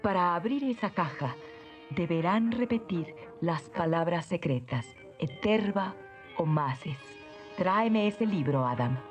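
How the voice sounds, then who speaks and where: A woman with a Latin American accent voicing a mystical guardian sorceress in a cartoon, grave and measured. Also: on the low side, lively intonation. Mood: solemn. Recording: dull dubbed soundtrack with music.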